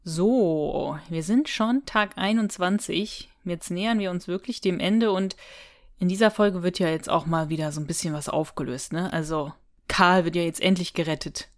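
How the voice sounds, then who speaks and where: Lower-pitched woman chatting casually. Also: moderate pace. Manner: conversational, colloquial, adds tag questions. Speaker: podcast co-host. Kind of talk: casual chat recapping a radio play.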